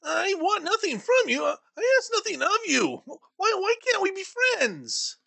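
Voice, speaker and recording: A man's voice: extremely high pitch, huge pitch swings, a little slow, creaky, full character voice. A man voicing a cheerful, earnest young nephew in a storybook reading, pleading and emphatic. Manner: warm-hearted, pleading and emphatic.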